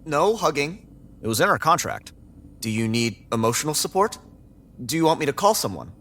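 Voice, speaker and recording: An actor playing a guarded, socially averse security android who tells its story in a dry, sarcastic, deadpan way. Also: male voice, fairly high pitch, fairly quick, exaggerated voice. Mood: flat, guarded. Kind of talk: first-person audiobook narration and dialogue.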